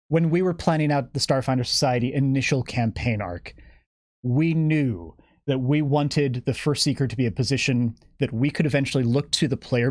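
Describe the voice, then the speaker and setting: A man with a mid-pitched voice gives a methodical, detailed explanation as a guest on a live stream. The sound is close and dry, with no room echo. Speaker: guest game developer giving campaign updates.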